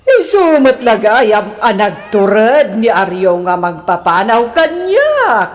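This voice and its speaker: A woman's voice: fairly high pitch, very animated, slow, big-room sound. A woman playing a jealous, possessive, quarrelsome lover in a comedy radio drama, theatrical and whiny. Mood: indignant, jealous.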